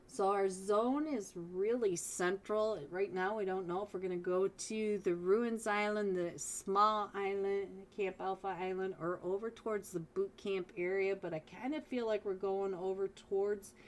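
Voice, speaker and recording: A woman casting a mobile esports match live as a solo caster, chatty and talking straight to viewers, with a voice she says is going hoarse. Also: mid-range voice, steady tone, a little slow, few pauses. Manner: chatty, talks straight to viewers, frequent restarts. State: losing her voice. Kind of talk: live game commentary.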